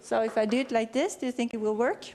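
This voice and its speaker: A woman's voice: on the high side, steady tone, a little mellow. A middle-aged woman from Sweden with a Swedish accent, giving an informal conference talk in English as a researcher, often correcting herself as she goes. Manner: hesitant, halting second-language phrasing with self-corrections. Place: conference venue, speaking live to an audience.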